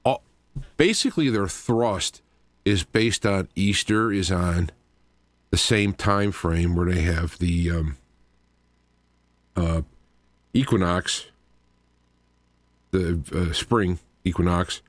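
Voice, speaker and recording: A man with a low-pitched voice hosts a live talk radio show, recorded close and dry with no room sound. He speaks in an informal monologue that wanders into explanatory asides, working through his points as he goes. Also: slow pace, long pauses, no room sound.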